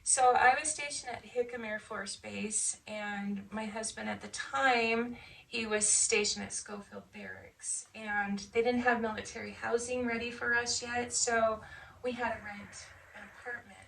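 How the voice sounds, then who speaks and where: A woman's voice: on the high side, fairly even intonation, a little slow, vocal fry, a bit bright. A woman in her fifties chatting casually as she recalls her past in an interview. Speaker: veteran being interviewed. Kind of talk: casual recollection. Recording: distant mic with faint background noise.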